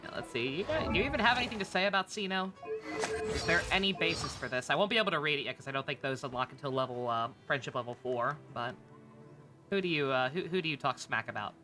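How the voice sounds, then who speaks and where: Lively, bright-voiced game streamer chatting. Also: mid-range voice. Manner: rambling, quick to laugh, jokey. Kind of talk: casual livestream commentary over a game. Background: video game music.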